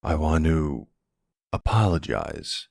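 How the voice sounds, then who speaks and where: A man playing a smug, flirtatious middle-aged mafia boss in an ASMR roleplay drama, sweet-talking and gravelly. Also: very deep voice, sing-song, slow pace, darkish tone, dry recording, studio quality, full character voice. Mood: smooth, smug.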